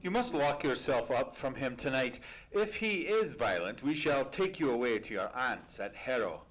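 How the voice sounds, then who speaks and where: A man playing a genial, loyal gentleman companion who also narrates, polite and literary, in a live radio play. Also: mid-range voice, animated, moderate pace, lightly acted, poor audio. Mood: concerned.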